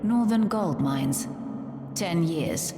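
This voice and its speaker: A stern interrogating judge with a low voice and slow delivery. Her curt, official lines of stage dialogue reverberate through a hall. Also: lively intonation, full character voice. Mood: cold, official. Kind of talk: theatrical game dialogue. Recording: echoey hall, faint music.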